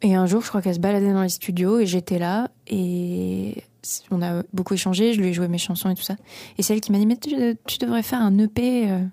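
A woman's voice: medium pitch, fairly even intonation, fast talker, dark tone, no echo. A young woman from the Paris region, a singer-songwriter answering interview questions, talking hesitantly with many fillers and restarts. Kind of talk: radio interview.

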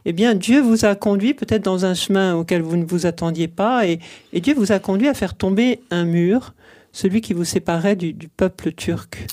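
A man's voice: high voice, moderate pace, darkish tone. A man hosting a faith radio show, interviewing a guest with short affirming interjections. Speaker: radio host.

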